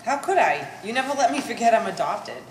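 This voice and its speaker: A woman's voice: on the high side, big pitch swings, fairly quick. A woman playing a resentful, bookish adult daughter in a stage play, speaking with wordy sarcasm. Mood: bitter. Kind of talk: live stage play acting. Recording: echoey hall, distant mic, faint noise.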